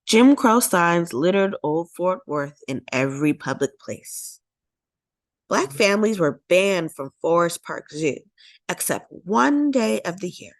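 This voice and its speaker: A woman's voice: lowish voice, animated, a little slow, no room sound. A woman voicing an elderly, hopeful great-grandmother telling her story in a children's storybook, with emphatic, lyrical phrasing. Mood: reminiscing. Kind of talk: children's storybook character reading.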